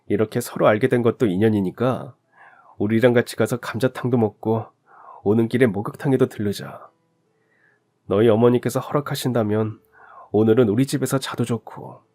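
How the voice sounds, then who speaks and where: A man voicing a kind middle-aged father in a radio drama story, speaking gently and invitingly. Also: mid-range voice, steady tone, normal speed, lightly acted. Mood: gentle.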